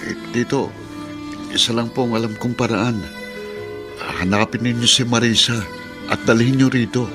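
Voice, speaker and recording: A man's voice: mid-range voice, steady tone, moderate pace, long pauses, lightly acted. An actor playing an apologetic, worried young man in a Filipino radio drama, pleading and hesitant. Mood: respectful, worried. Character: apologetic, worried young man, put-upon loyal cousin. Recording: music under the dialogue.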